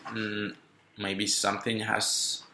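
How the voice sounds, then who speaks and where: A man in his thirties thinks aloud in a loose, halting monologue, circling back and restarting his sentences. His voice is low and gravelly with a bright, pressed edge. The room around him rings with echo. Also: monotone, a little hiss or hum. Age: 30s. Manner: halting, musing, many restarts and fillers. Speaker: podcaster. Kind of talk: rambling solo monologue. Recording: echoey room.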